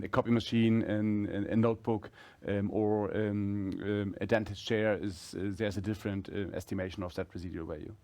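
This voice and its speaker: Mężczyzna, menedżer, tłumaczy z wahaniem. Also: male voice, fairly low pitch, darkish tone. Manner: halting, rephrasing as he explains. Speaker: company executive answering analysts. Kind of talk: explanatory earnings-call answers.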